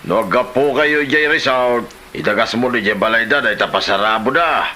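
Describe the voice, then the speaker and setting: A man's voice: mid-range voice, a little fast, pushed and bright, a little hiss or hum, natural voice. A man acting a stubborn, grumbling older father in an Ilocano comedy drama, plain-spoken.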